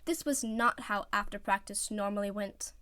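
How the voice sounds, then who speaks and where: A woman narrating fan fiction as an amateur reader, expressive and scripted. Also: medium pitch, normal speed, no echo, studio quality.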